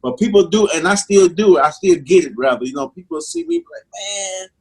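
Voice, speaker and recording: A middle-aged comedian from Mississippi with a strong Southern accent and a slight lisp, chatting casually in a laid-back, drawling way. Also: male voice, sits high, big pitch swings, brisk, breathy edge. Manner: laid-back, drawling, says "man" a lot. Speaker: stand-up comedian guest. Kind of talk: casual interview chat.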